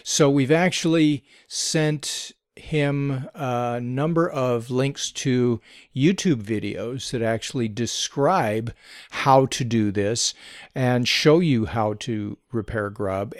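A man co-hosting a tech podcast, talking at an ordinary middle pitch with a measured, explanatory manner. His voice sits dry and close to the mic, with no room sound around it. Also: dry recording. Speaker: podcast co-host. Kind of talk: casual tech podcast chat, reading listener email.

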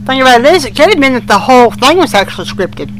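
A man co-hosting a casual fan podcast in a low-key, noncommittal, folksy way. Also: big pitch swings, quick pace, a bit bright, soft background music, poor audio. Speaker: podcast co-host. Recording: amateur podcast with humming noise.

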